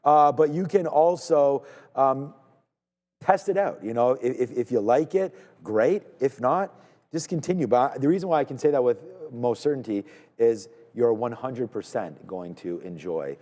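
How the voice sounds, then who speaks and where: A man from Canada hosting a live trading stream, talking in energetic, rambling casual banter, repeating words and shouting out viewers. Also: medium pitch, normal speed, long reverb tail.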